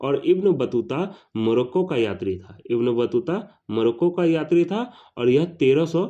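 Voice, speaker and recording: A man's voice: mid-range voice, expressive, a little slow, warm tone, highs cut off. A male teacher, walking through exam questions and repeating each question and answer.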